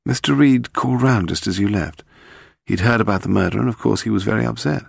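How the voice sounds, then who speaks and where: A British man voicing a brisk, composed English woman secretary in a clipped, matter-of-fact way for an audiobook. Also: fairly low pitch, creaky, breathy edge, no room sound, studio quality, voice pushed up, heavily acted.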